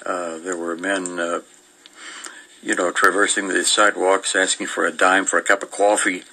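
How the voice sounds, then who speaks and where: Older guy on a radio show, low bright voice, rambles through old listings, stops and starts. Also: level tone, vocal fry, poor audio. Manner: meandering, chuckling, halting delivery. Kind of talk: casual reminiscing chat, reading old newspaper listings.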